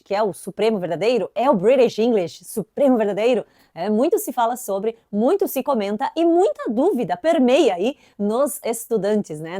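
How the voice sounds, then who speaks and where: A young woman from southern Brazil speaks with an inland Santa Catarina accent, chatting through an English lesson in a relaxed way. Her voice sits a little high, and it is close and dry with no room sound. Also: no echo. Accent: Santa Catarina inland Brazilian Portuguese. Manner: chatty, frequent "né" tags, lively emphasis. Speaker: English teacher. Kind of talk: casual lesson to camera.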